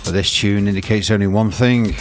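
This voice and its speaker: A man's voice: lowish voice, steady tone, a little fast, loud music behind the voice. A man presenting a morning radio show, chatting casually and rambling with plenty of fillers and restarts.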